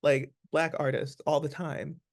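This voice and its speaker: A man's voice: on the high side, flat delivery, unhurried. A man speaking as an interview guest, giving advice conversationally in an earnest, emphatic way, repeating phrases for stress.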